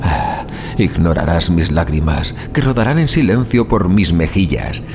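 An actor playing a terrified, fatalistic man in a horror audio drama, speaking in anguished sighs and dramatic pauses. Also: low voice, sing-song, very noisy, exaggerated voice. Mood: trembling, morbid dread. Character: terrified, fatalistic male car passenger awaiting his death. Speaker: narrator of audio fiction. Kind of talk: dramatised first-person horror monologue.

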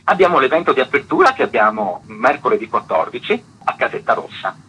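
A man's voice: mid-range voice, sing-song, fairly quick, bright, forceful tone, slightly rough, low-quality recording. A man explaining things articulately and in detail as an artistic director being interviewed on the radio.

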